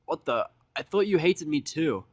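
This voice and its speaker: A man's voice: on the high side, lively intonation, normal speed, dry recording, voice pushed up. A man voicing a sullen, guarded and impatient male outsider in a story reading. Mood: incredulous.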